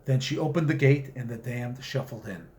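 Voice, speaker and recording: A man with a mid-pitched voice speaks as a writer sharing his own short fiction. His phrasing is measured and deliberate, in the manner of an author reading at home to listeners. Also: darkish tone. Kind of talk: story reading with spoken introduction.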